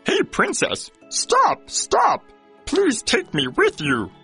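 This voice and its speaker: A man voicing a small, pleading and pushy talking frog in a children's cartoon fairy tale, with a raspy voice and exaggerated delivery. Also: very high-pitched, huge pitch swings, takes it slowly, pushed and bright, soft background music, full character voice.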